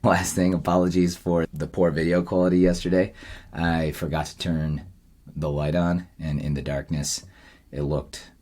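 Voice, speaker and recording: A man's voice: low-pitched, flat delivery, moderate pace, darkish tone. A man giving a casual video diary update to viewers in a reflective, plain-spoken way. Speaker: vlogger running a storytelling camp for kids.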